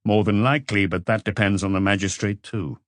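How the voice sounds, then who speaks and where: A calm police inspector from an audiobook, acted by a man. He questions in a measured, probing way with few words. The voice is fairly low and recorded very dry. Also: no room sound, close to an everyday voice.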